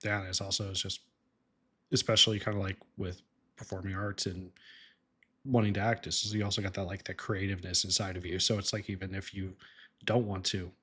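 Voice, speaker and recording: A man hosting a podcast, chatting casually with frequent "like" and quick affirmations. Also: deep voice, flat delivery, a bit bright, slightly rough. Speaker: podcast host. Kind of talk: casual interview chat.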